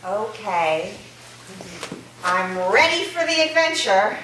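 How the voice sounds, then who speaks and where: A woman playing a skeptical college student, reading a stage play in a plain, measured way. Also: medium pitch, sing-song, fairly bright tone. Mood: measured, sincere. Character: skeptical female college student aboard a submarine. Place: echoey room, staged reading. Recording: very echoey room, far off, faint noise.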